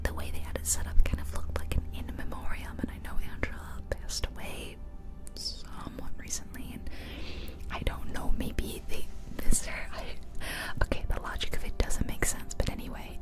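A woman whispering softly and close to the microphone in casual, chatty ASMR commentary on a video game. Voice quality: soft unvoiced whisper. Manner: casual, rambling, chatty asides. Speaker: ASMR creator. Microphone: close ear-to-ear ASMR microphone.

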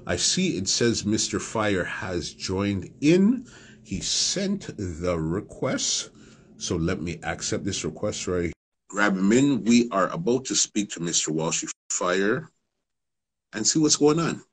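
A man's voice: on the low side, slow. Guy in his 40s hosting a live stream from home, voice swings all over, bit of room hiss, close webcam mic. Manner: animated, hypes the audience with shout-outs. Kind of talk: casual live stream chat. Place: small room at home, blue curtain behind. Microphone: phone or webcam at close range.

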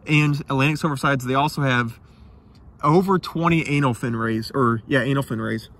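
A young man vlogging outdoors in a tidal marsh at night, talking excitedly and casually about the fish he catches. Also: mid-range voice, normal speed. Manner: enthusiastic, chatty, slangy. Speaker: micro-fishing vlogger. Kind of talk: casual outdoor vlog narration.

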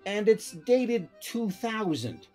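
A fussy, persistent pizza man , acted by a man, with a very lively, swooping delivery. He chats and needles like a comic sidekick in a radio play. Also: high-pitched, slow, faint music behind, exaggerated voice. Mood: fussy, chatty. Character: fussy, chatty pizzeria manager, nagging his boss. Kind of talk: comedic radio play acting.

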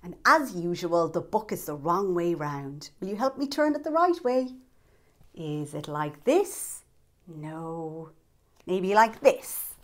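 A woman in her thirties presents children's storytime in an animated, exaggerated, sing-song way aimed at young children. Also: medium pitch. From Ireland. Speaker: children's storytime host and entertainer. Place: small indoor set with fabric backdrop.

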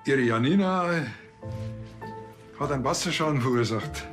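A man's voice: mid-range voice, expressive, normal speed, over music, lightly acted. An actor playing a cocksure, talkative village policeman in a comedy crime series, with a Bavarian accent and a colloquial way of explaining. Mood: matter-of-fact.

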